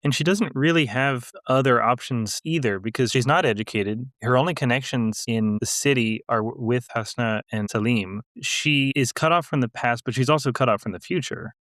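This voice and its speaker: Man reading fiction, measured and dry. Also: medium pitch, a bit bright, no echo. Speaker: podcast co-host reading his fiction. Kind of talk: literary reading and discussion.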